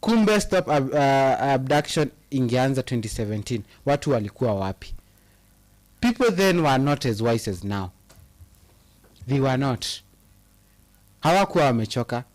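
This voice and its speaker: A man's voice: medium pitch, animated, normal speed, pauses often, a little mellow. A Kenyan man co-hosting a casual live chat, reading viewer comments with impassioned, hesitant delivery. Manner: impassioned, reads comments aloud with hesitant fillers. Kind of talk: casual live chat mixing English and Swahili.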